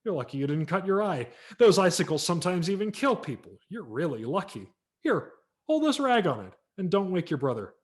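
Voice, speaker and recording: A man's voice: high-pitched, big pitch swings, a little fast, voice pushed up. A man voicing a fussing 1930s mother who warns and soothes her young son in a storybook reading. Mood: soothing. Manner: anxious, coaxing.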